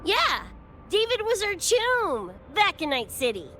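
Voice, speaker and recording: A woman's voice: very high-pitched, wildly swooping pitch, a little slow, pushed and bright, heavily acted. A woman voicing a brash, cocky young mercenary with slangy, streetwise swagger in a video game. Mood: breezy, enthusiastic. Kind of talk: animated video game voice acting. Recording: music under the voice.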